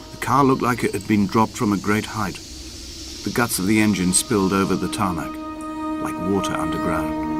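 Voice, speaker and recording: An actor playing a lone, grieving castaway, narrating in a measured, solemn voice as a literary game monologue. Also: male voice, deep voice, natural voice. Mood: haunted, mournful. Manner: brooding, measured, solemn, poetic delivery. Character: lone, grieving, injured male castaway narrating his island exile. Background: prominent music.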